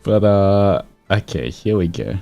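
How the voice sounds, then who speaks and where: Very low-voiced, mellow man streaming. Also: unhurried, creaky, highs cut off. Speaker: VTuber streamer host. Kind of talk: livestream fan-fiction reading with casual chat. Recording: soft music behind. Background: background music.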